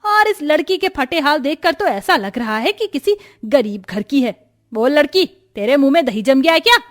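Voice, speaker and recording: An imperious older mother-in-law from a family moral story, acted by a woman. Her voice sits fairly high. She scolds, taunts and orders people about with open scorn. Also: animated, moderate pace. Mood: angry, scornful. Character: domineering, scornful older mother-in-law, sweet only to favourite daughter-in-law. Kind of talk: dramatised moral story acting.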